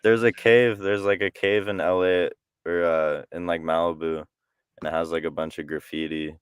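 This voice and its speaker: A man's voice: low voice, level tone, moderate pace, clean tone, dry recording, clean studio sound. A man, a guest musician, chatting casually on a livestream, rambling with lots of fillers. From born in Brighton. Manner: rambling, lots of "like", laughs easily.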